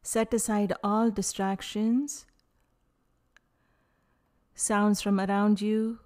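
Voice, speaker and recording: A woman leading a guided yoga nidra meditation, speaking calmly and soothingly in short phrases with long pauses. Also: medium pitch.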